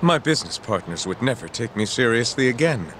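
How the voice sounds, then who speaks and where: A pompous theatrical gangster boss. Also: male voice, medium pitch, big pitch swings, fairly quick, fairly bright tone, a little hiss or hum. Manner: grandiose, mock-formal, melodramatic. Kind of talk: video game dub acting. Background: music.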